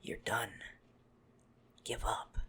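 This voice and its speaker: A man playing a possessive, menacing, smug vampire stalker in an ASMR roleplay, haughty and teasing in a deliberate murmur. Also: sharp tone. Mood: mocking, haughty.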